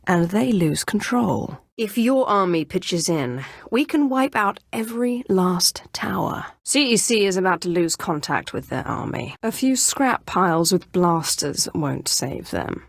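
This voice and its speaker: An actress playing a ruthless, imperious Sith Inquisitor in a video game, with a haughty, menacing, deliberate delivery. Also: female voice, lowish voice, animated, gravelly, no echo, studio quality, exaggerated voice. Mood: imperious, calculating. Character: ruthless, imperious female Sith Inquisitor, dark sorceress of the Empire. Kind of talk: video game character voice acting.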